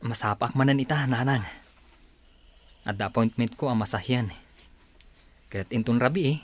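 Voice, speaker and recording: A man playing a dutiful grown son in an audio drama, earnest, respectful and calming. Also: medium pitch, normal speed, lightly acted. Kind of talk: Ilocano audio drama acting.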